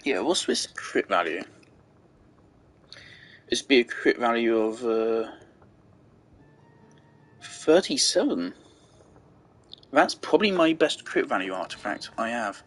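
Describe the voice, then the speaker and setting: A man's voice: medium pitch, sing-song, slow pace, pauses often. A man giving casual gameplay commentary, rambling and thinking aloud as he goes. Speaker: game streamer.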